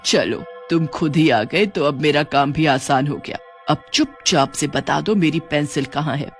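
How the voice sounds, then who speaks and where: Man voicing a menacing high-voiced female witch. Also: a little slow, faint music behind. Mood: gloating. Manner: threatening, demanding. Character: menacing, short-tempered female witch hunting her magic pencil. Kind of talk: dramatic Hindi horror storybook acting.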